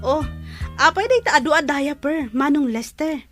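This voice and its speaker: Woman acting a high-voiced, nosy younger sister. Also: animated, normal speed, over music. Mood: disapproving. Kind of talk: Ilocano audio drama acting.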